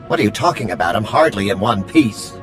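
A man's voice: lowish voice, expressive, fairly quick, loud music behind the voice, lightly acted, low-quality recording. A man playing a fussy, sarcastic droid in a video game, prim and sardonic. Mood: prim sarcasm.

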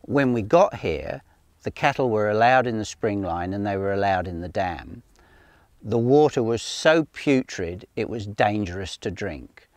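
An older Australian farmer with a very deep voice, speaking steadily and plainly. The sound is dry and close, picked up on a lapel mic outdoors. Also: male voice, a little slow, dry recording. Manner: plain, matter-of-fact, steady. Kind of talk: outdoor farm walk interview. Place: outdoors on a farm paddock by a ute.

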